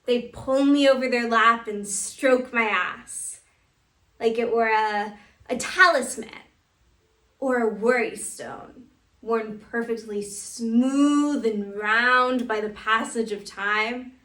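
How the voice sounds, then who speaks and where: A woman's voice: on the high side, slow, fairly bright tone. A woman playing a cocky thirteen-year-old girl in a stage monologue, boastful and emphatic, with teenage slang. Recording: roomy echo, distant mic, faint noise.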